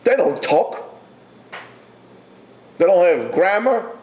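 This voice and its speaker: A man giving a Torah lecture to yeshiva students, emphatic and exhorting, with many pauses. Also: high-pitched, big pitch swings, darkish tone. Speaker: yeshiva teacher.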